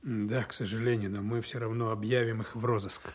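A man acting a dutiful, overworked Soviet counterintelligence officer in a Russian radio play, earnest and frank, with a slightly husky voice. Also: lowish voice, flat delivery, brisk. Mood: measured, reporting. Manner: earnest, matter-of-fact reporting. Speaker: actor in an audio drama.

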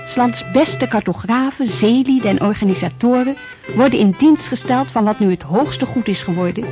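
An actress narrating a historical radio play, formal, measured and rhetorical. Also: female voice, a little high, close to an everyday voice. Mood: stately. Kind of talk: scripted narration. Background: music.

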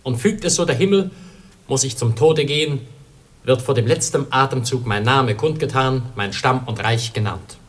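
A man's voice: mid-range voice, normal speed, fairly bright tone, lightly acted. A man playing a resolute, lovestruck young prince in a classical radio play, solemn and declamatory.

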